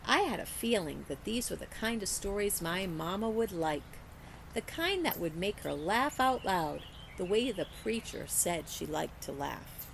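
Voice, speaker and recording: A woman reading a children's story aloud in an expressive storytelling style, like a teacher with a class book. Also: medium pitch, unhurried, gravelly, a little hiss or hum, low-quality recording.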